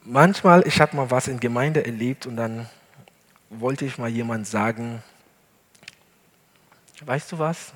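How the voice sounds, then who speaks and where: A male pastor, not a native German speaker, preaching an earnest sermon in a church hall with deliberate emphasis. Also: mid-range voice, a bit bright. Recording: long hall reverb.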